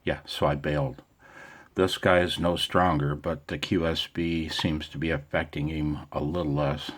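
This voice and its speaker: A man's voice: very deep voice, level tone, moderate pace, fairly bright tone. An American man talking off the cuff in a casual vlog as an amateur radio operator, with a wry, self-deprecating manner.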